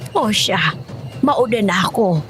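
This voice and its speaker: A woman's voice: mid-range voice, animated, slow pace, a bit bright, faint music behind. A woman with a Filipino accent playing a chatty, foreboding older village auntie in an audio horror drama. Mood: grave.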